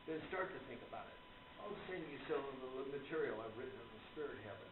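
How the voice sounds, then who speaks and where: An actor playing a courteous, earnest gentleman author devoted to spiritualism, speaking formally in a radio play. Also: medium pitch, lively intonation, quick pace, lightly acted. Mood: preaching, rapt. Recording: muffled phone-like sound, loud noise, echoey room.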